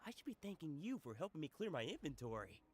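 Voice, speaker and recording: A man's voice: a little high, big pitch swings, fast talker. An actor playing a jovial, generous male food merchant who chuckles and teases. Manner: chuckling, good-humored, teasing.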